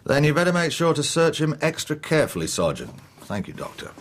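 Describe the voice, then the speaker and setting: An actor playing a businesslike, skeptical middle-aged police chief inspector in a radio drama, plain-spoken and official, with an English accent. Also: male voice, a little high, sing-song, brisk, background noise. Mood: brisk, skeptical.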